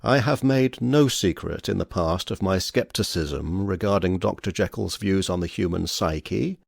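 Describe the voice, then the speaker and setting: An actor playing a dignified older Victorian knight who speaks with courteous, formal oratory in a period drama. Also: male voice, deep voice, a little slow, creaky, dry recording, studio quality, exaggerated voice. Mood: formal, measured. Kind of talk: theatrical period audio drama acting.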